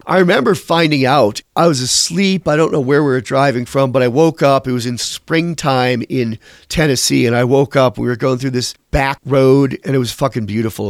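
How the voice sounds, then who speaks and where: A man's voice: medium pitch, no room sound, clean studio sound. A man being interviewed as a musician, telling candid, rambling stories and swearing casually. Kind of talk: candid conversational storytelling.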